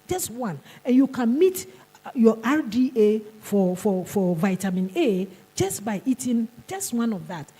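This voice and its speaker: A woman's voice: on the high side, warm tone, husky, poor audio. A Ghanaian woman giving a conference presentation, emphatic and repeating words as she restarts her sentences. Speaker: conference speaker. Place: conference hall.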